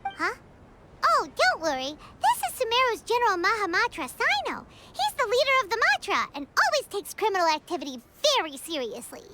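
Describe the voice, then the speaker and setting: Casting: excitable floating childlike girl for anime game, sky-high squeaky voice, very animated delivery. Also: brisk, heavy background noise, exaggerated voice. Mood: chatty, curious. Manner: perky, exclaiming, refers to self by name. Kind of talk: anime video game voice acting. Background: game music.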